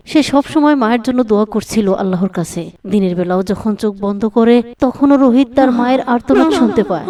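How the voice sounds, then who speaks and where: A woman narrating a scripted moral story for a cartoon as its storyteller. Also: fairly high pitch.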